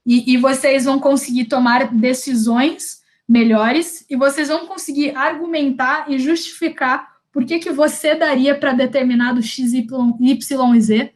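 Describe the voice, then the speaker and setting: A woman's voice: high-pitched, fairly even intonation, measured pace. A young woman with a Brazilian Portuguese accent leads a career workshop in a casual, slangy way, often restarting her sentences. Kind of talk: informal workshop talk.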